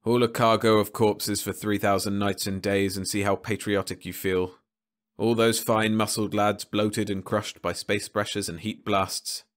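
A weary, bitter man who has hauled too many corpses, his low voice grim and tired. A male narrator reads him close to his own voice. It is an audiobook reading. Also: little pitch movement, a little slow, natural voice. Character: weary, bitter male morgue-ship captain. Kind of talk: audiobook character voice.

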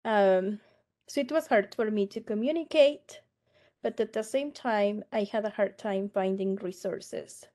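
A woman from Mexico, giving a slow, earnest personal testimony over a video call. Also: mid-range voice, warm tone. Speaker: survivor advocate, guest speaker. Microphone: laptop webcam on a video call.

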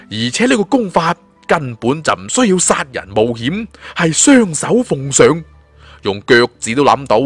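A man's voice: mid-range voice, a bit bright. A man with a Cantonese accent narrating a fantasy audiobook in a colloquial, dramatic storyteller's manner.

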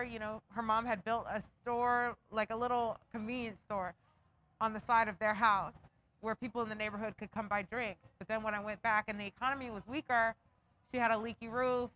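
A woman's voice: on the high side, pushed and bright, poor audio. A woman in her thirties with an American accent, talking conversationally and reflectively in a documentary interview. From raised outside Atlanta, United States. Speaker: fashion business owner. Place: inside a clothing shop. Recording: noticeable background ambient noise.

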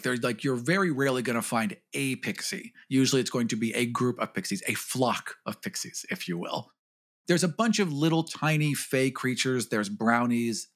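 A man's voice: medium pitch, a bit bright. A man co-hosting a podcast, either reading a script or chatting about games. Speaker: podcast co-host. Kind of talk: scripted reading and casual discussion.

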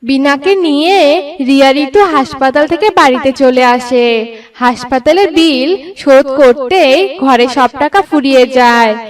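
A woman narrates a scripted cartoon story in a high voice. She takes her time with each phrase and sounds slightly distant from the mic. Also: measured pace.

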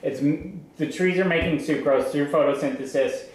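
A young man giving an informal farm tour, explaining as he goes with halting, repeated starts. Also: on the high side, darkish tone. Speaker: maple farmer giving a tour. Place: large farm sugarhouse and tasting room. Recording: echoey farm building, some background noise.

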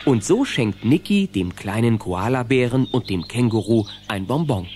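A man's voice: mid-range voice, animated, normal speed, darkish tone, a little hiss or hum, close to an everyday voice. A man narrating a children's radio play in scripted storytelling style.

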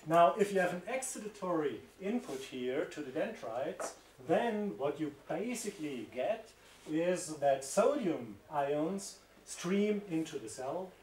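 A man's voice: on the high side, expressive, unhurried, a little mellow, a little hiss or hum. A middle-aged man with a German accent giving a university lecture, explaining slides and pointing as he talks. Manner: explanatory, pointing at slides, gestures while speaking. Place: seminar room with projector. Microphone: distant room mic. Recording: echoey room, speaker far from mic.

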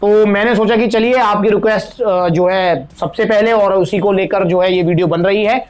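High-voiced Indian man reviewing supplements. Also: steady tone, moderate pace, smooth, soft background music, low-quality recording. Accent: Indian Hindi. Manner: chatty, addresses viewers as friends, frequent fillers. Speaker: supplement reviewer, channel host. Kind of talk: product review talk to camera.

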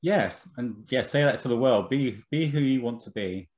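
A man's voice: medium pitch, normal speed, a little mellow. A man interviewing a guest over a video call from a room at home, friendly and encouraging with light teasing.

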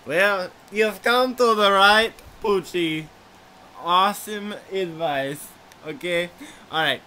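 A man's voice: high voice, slow, pushed and bright, a little hiss or hum, exaggerated voice. A man voicing an eager, silly chihuahua who gives playful, repetitive love advice. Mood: enthusiastic.

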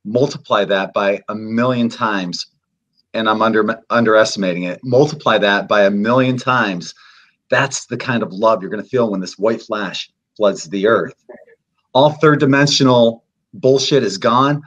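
A man's voice: fairly low pitch, moderate pace, a bit bright, dull audio. A man in his late fifties, a guest on a video call, explaining his ideas earnestly and steadily, repeating points for emphasis. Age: 50s. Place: indoor room.

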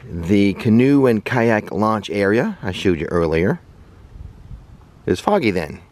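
A chatty American man in his forties gives a relaxed outdoor tour, his lowish voice bouncing up and down with lively swings of pitch. He talks straight to the camera held at arm's length, open air around him. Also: slow. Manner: chatty, upbeat, pointing things out to viewers. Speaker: travel vlogger. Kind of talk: casual travel vlog narration. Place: outdoors at a riverside park and on a kayak.

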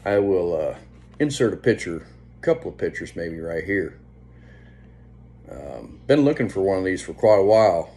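A man in his fifties chatting casually to a phone at home, unhurried and meandering, often trailing off. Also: deep voice, sing-song, gravelly, warm tone. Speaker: vlogger. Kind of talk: casual rambling vlog chat. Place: indoors, small room at home. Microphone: phone at arm's length. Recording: faint background hum on phone recording.

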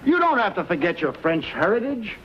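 A dull old film soundtrack with faint noise carries an upright army captain. He declaims formally in a high voice, like a public speech in a western. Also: very animated, brisk. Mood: earnest, proud. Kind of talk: 1950s western screen acting, speech-making.

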